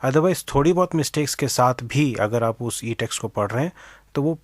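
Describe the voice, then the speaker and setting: A man's voice: medium pitch, expressive, normal speed, no echo, clean studio sound. A man with an Indian accent giving methodical explanatory interview answers, mixing English phrases into his speech. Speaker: interview guest, organisation director.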